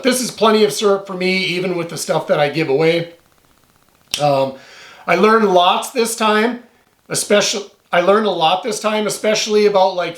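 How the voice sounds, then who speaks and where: A man with a hoarse voice, chatting in a relaxed, rambling way as he wraps up an outdoor vlog. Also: high-pitched, echoey room. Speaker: outdoor vlogger. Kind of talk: casual vlog talk to camera. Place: off-grid cabin in the northern woods.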